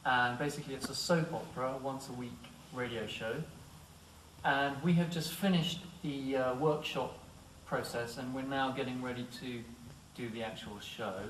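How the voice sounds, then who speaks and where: A man's voice: mid-range voice, normal speed, fairly bright tone, a little hiss or hum. A man, an actor, informally presenting to and questioning his group of castmates. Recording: roomy, distant mic, faint music.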